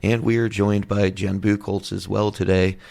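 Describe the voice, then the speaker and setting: A man hosts a laid-back podcast conversation in a worn, hoarse voice that is losing its strength. His voice sits very low with a gravelly fry and stays flat in pitch, and he keeps his turns brief. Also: normal speed. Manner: low-key, brief, laughs along. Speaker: podcast host. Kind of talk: casual true crime podcast chat.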